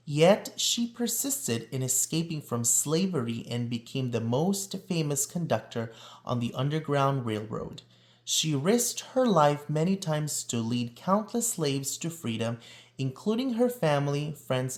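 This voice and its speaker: Male read-aloud presenter, children's nonfiction storybook, lively varied intonation, emphatic delivery. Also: mid-range voice.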